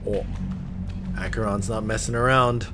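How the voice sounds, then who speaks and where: A man's voice: lowish voice, fairly even intonation, moderate pace, over music. Slangy, foul-mouthed man streaming games. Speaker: game streamer reacting to a story quest. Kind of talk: casual live reaction commentary.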